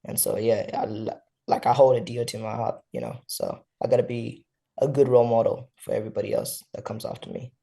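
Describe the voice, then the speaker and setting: A man's voice: medium pitch, lively intonation, fairly quick, creaky, mellow, breathy edge. A young man from South Sudan, a fighter and guest on a podcast, talking casually in laid-back slang and tagging on "you know" often. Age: young adult. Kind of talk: casual interview.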